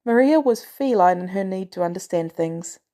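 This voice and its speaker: A woman's voice: mid-range voice, expressive, normal speed, warm tone. A woman reading scripted fiction as an audiobook narrator. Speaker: fan fiction audiobook reader.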